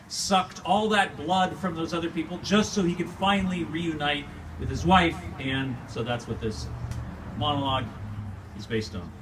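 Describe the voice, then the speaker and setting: A man's voice: fairly high pitch, fairly bright tone. A man with a Californian accent giving an informal spoken introduction before performing his own reading. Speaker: writer and performer of a monologue. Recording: distant live room recording, faint noise.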